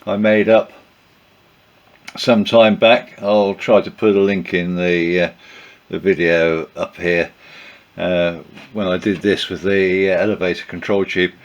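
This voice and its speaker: Older man, croaky from a cold, deep voice, unhurried, filler-heavy, home workshop. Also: dull audio. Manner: relaxed, hesitant with many fillers. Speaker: aircraft builder. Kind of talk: workshop build narration.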